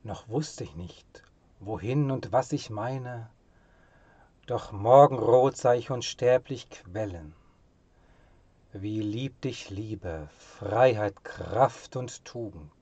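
Man, poetry recitation, low pitch, solemn, expressive, hushed phrase endings, dry close sound. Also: takes it slowly, husky, no room sound.

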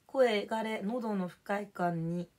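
A young woman with a hoarse voice, chatting casually on a livestream and thanking viewers for gifts. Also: medium pitch, takes it slowly, darkish tone. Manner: chatty, drifts between topics and reading out viewer names.

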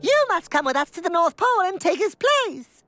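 High-voiced small cartoon player. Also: female voice, big pitch swings, normal speed. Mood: stagey. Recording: music behind the voice.

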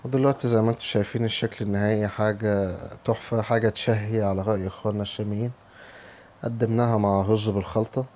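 A hoarse, low-voiced man with an Egyptian accent talks through a home recipe in a casual, step-by-step way. He speaks fast with a dark, mellow tone. Faint kitchen noise sits behind him. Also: steady tone. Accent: Egyptian Arabic. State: hoarse from a cold. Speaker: home cooking channel host. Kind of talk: cooking tutorial voice-over.

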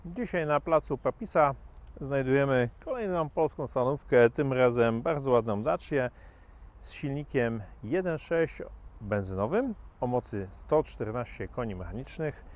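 A man's voice: medium pitch, expressive, a little slow, darkish tone. Upbeat hesitant man selling a car. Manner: hesitant, many "e" fillers, upbeat sales talk repeating praise. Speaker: car dealer presenting a used car. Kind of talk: unscripted car walkaround presentation. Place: car dealership lot and inside the car.

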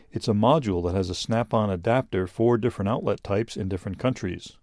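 A man's voice: on the low side, mellow, no echo, clean studio sound. A man reading scripted narration for a product review video in a plain-spoken, explanatory way.

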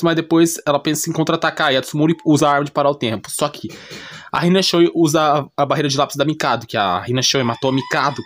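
Higher-pitched Brazilian man explaining casually. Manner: repetitive, often checks listener understanding with short tag questions. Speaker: YouTuber recapping anime and manga. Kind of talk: casual unscripted plot explanation.

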